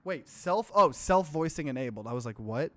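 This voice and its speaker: A man's voice: on the high side, expressive, a little fast, sharp tone. A man hosting a let's play, reading game narration aloud and adding his own commentary.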